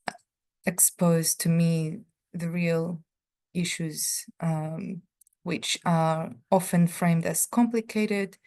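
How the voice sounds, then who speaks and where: A middle-aged woman, speaking on a panel and sharing a personal account in a halting way, full of fillers and restarts. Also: fairly low pitch, a little mellow.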